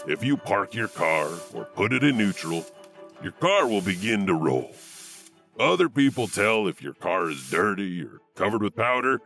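A man's voice: low-pitched, sharp tone, heavily acted. A man playing a sinister, theatrical storyteller who narrates urban legends for a horror anthology. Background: music.

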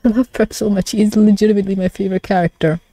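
A woman's voice: mid-range voice, steady tone, fast, mellow, no room sound. A woman streamer giving a casual live reaction to a webcomic, gushing and flustered, swearing freely. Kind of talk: live reaction with casual commentary and reading aloud.

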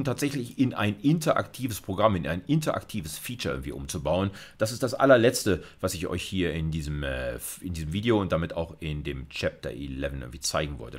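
A man's voice: low voice, slightly rough. A middle-aged man giving an informal video lecture, thinking aloud through a live coding demo and dropping in English technical terms. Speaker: university lecturer.

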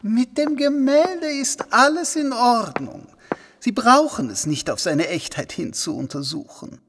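A man acting a smug, callous wealthy gentleman in a German audio drama, with affected, mock-courteous theatrical delivery. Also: extremely high pitch, animated, unhurried, long reverb tail, a little hiss or hum, full character voice. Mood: smooth, guarded.

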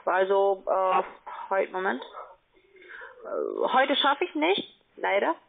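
A woman's voice: mid-range voice, huge pitch swings, takes it slowly, vocal fry, a little hiss or hum. Woman on a tinny phone line. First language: not German. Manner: formal, non-native phrasing. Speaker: call-center financial adviser. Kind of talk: phone sales conversation. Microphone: telephone.

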